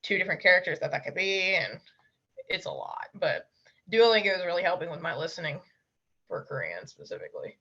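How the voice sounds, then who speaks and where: A young woman being interviewed, talking casually and bluntly, with emphatic quick asides. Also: fairly low pitch, big pitch swings, bright, forceful tone, husky, dull audio. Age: young adult. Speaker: interview guest, language learner.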